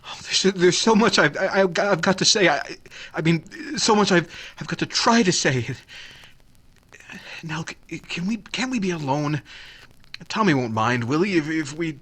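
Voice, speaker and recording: Anguished suitor, played by a male actor, man, stammering, earnest, highish voice, swooping intonation, old radio drama. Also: lively intonation, a bit bright. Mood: anxious, pleading. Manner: stammering, halting. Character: flustered, earnest suitor, Bert, anguished and tongue-tied. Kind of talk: 1940s radio drama acting.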